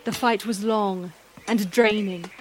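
A woman narrating a scripted fantasy story in a measured, dramatic storytelling manner. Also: mid-range voice, fairly even intonation, slight background noise.

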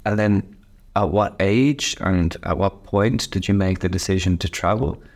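Male podcast host, very deep voice, encouraging interviewer. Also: unhurried, a little mellow. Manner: encouraging, frequent "yeah" and "wow". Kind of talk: interviewing conversationally.

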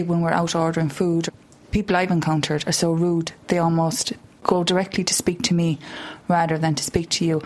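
A woman speaking as a friend in a documentary interview. She talks earnestly and considers her words, sometimes halting mid-phrase. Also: on the low side, a little mellow, poor audio.

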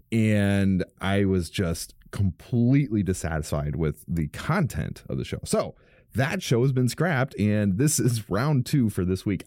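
A man, a pastor, hosting his own podcast from a home studio, speaking in a casual, frank monologue with a fairly deep, smooth voice and many restarts. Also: dark tone, no room sound. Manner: rambling, frank, self-correcting with many restarts. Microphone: studio microphone.

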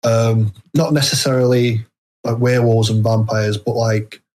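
A British man talking casually as an interview guest, hesitant and low-key as he pieces his thoughts together. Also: little pitch movement, a little slow, darkish tone. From United Kingdom. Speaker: interview guest, indie horror author.

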